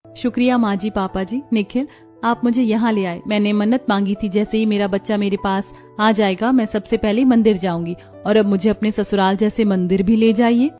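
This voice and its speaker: A woman voicing a gentle, polite, dutiful young mother in a cartoon family drama. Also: medium pitch, steady tone, moderate pace, faint music behind, lightly acted. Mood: grateful. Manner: polite, respectful.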